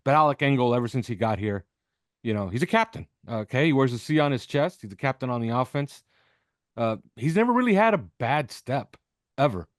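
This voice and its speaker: Male sports podcast analyst, average pitch, emphatic repetition, dry close sound. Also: expressive, fairly quick, no echo. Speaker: podcast host appearing as guest analyst. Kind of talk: sports interview commentary. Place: video call with backdrop behind.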